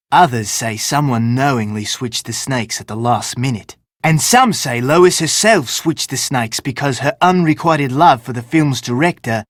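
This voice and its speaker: A man's voice: medium pitch, no room sound, clean studio sound, close to an everyday voice. A man voicing a sarcastic, dramatic, curse-obsessed film crew member in a video game, with theatrical delivery.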